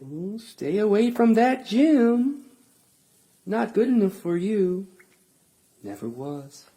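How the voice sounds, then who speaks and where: A woman's voice: mid-range voice, big pitch swings, takes it slowly, mellow. A woman playing a possessive, taunting clown in an audio drama, teasing and drawing out the words. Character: possessive taunting clown, speaking of itself in third person.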